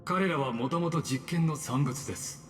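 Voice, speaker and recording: A man voicing a calm, polite and calculating male villain in a game dub. He speaks in a formal, measured way. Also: on the high side, close to an everyday voice. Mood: courteous, cold. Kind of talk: Japanese game dub acting. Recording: game audio with reverb and faint music.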